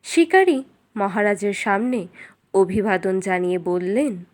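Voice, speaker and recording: A woman's voice: mid-range voice, measured pace, a little mellow. A woman narrator reading a scripted fairy tale for children.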